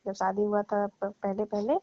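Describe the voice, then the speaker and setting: A woman's voice: medium pitch, completely flat delivery, moderate pace, mellow, no echo, faint music behind. A middle-aged woman chatting casually on the phone in a coy, teasing, playfully scolding way. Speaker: participant in a comic phone call. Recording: dry, dull lo-fi phone-call audio.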